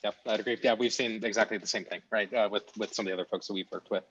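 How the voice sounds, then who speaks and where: A man hosts the interview and talks fast in a relaxed, chatty way. His audio is muffled and lo-fi. It is also very dry, with no room sound, as on a video call. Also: on the low side, breathy edge, no room sound. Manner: relaxed, conversational. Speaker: host, interviewer. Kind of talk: casual interview questions.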